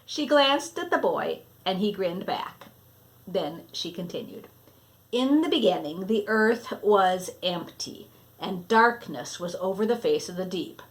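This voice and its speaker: A woman reading a children's story aloud expressively, with deliberate phrasing that sometimes drops to a hush. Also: fairly low pitch, animated, rough recording. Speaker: storybook reader.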